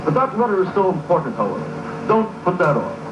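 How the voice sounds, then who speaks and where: A kindly navy chaplain giving a homely address in an old 1940s film. His voice is high, on a muffled, echoey soundtrack with loud music behind. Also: sing-song, quick pace. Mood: kindly, exhorting. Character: folksy navy chaplain preaching kindly to sailors.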